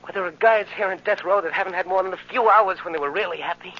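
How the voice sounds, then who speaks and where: A fairly high, bright-voiced young condemned prisoner in an old radio play, tender and resigned. He speaks plainly and earnestly on a muffled recording. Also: fast talker. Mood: tender, pleading. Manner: earnest, emotional, plain working-man speech. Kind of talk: 1940s radio drama acting.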